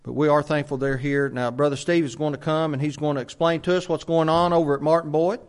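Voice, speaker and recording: A man, a church minister introducing a guest speaker in a halting way, with many fillers. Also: a little high, steady tone, smooth. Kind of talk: church announcement.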